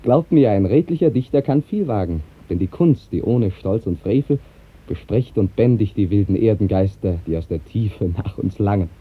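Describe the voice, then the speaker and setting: Solemn minstrel with swooping, declamatory delivery. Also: male voice, lowish voice, lively intonation, normal speed, slightly rough. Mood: fervent, reverent. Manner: declamatory, poetic phrasing. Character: devout, solemn male minstrel poet, knowing storyteller. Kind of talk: 1950s German radio drama acting. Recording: tinny, band-limited 1950s radio recording.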